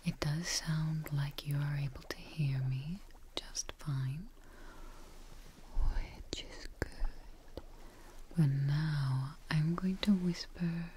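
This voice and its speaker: Young female ASMR performer: breathy, soothing whisper, extremely slow delivery, gentle scientist character, close-miked with some hiss. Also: deep voice, steady tone. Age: young adult. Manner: gentle, soothing, reassuring, slowly repeating phrases. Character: gentle scientist tending their frightened creation. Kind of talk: soft-spoken whispered ASMR roleplay. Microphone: close-up microphone.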